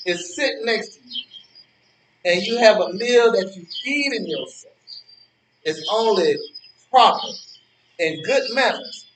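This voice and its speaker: Stage elder, male, acted: high voice, indignant moralizer who repeats himself; echoey recording with birdsong. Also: animated, fairly bright tone. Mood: lecturing. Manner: moralizing, halting, repeating words for emphasis. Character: preachy, indignant old man, lonely park-bench regular. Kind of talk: stage play dialogue. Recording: echoey, distant-sounding, noisy ambience with birdsong.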